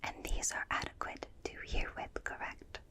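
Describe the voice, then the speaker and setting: A woman voicing a gentle, curious alien examiner in an ASMR roleplay, speaking in formal, clinical phrases in a breathy whisper, close to a binaural microphone. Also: natural voice. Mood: curious, soothing. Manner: slow, clinical, fascinated, formal stilted phrasing.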